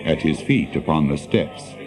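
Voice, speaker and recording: A man narrating a fantasy audio drama in a measured, literary storybook style. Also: a bass voice, lively intonation, over music.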